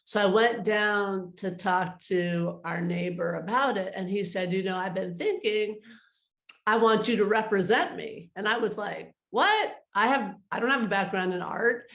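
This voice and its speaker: A woman's voice: mid-range voice, a little slow, vocal fry, a bit bright, roomy sound. A middle-aged woman speaking as an interview guest in a casual, conversational style. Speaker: interview guest, artist advisor.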